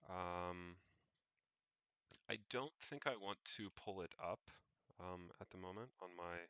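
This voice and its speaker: A man casually thinking aloud as he narrates his screen on a livestream, pausing mid-sentence and muttering to himself. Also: low-pitched, fairly bright tone, clean tone, dry recording, highs cut off. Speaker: solo livestreamer.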